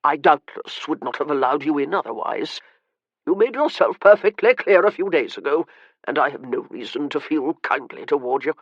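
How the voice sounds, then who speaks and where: A man voicing a frail, irritable, wealthy old gentleman in his later years, short of breath, with a rasping, haughty, slow and weary delivery. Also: fairly high pitch, lively intonation, no room sound, dull audio. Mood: disdainful.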